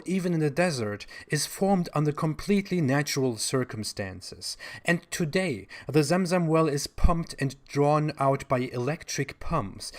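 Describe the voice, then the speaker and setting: A man with a German accent giving critical commentary, full of sarcastic, mocking asides. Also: medium pitch. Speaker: online commentator.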